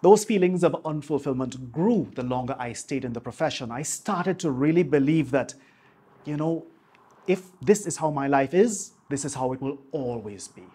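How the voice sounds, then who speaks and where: A man, presenting a scripted piece to camera in a polished, measured broadcaster's delivery on a lapel mic in a home office. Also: mid-range voice, expressive, warm tone, slightly rough. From Trinidad and Tobago. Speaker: YouTube host, marketing coach. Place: small home office, indoors.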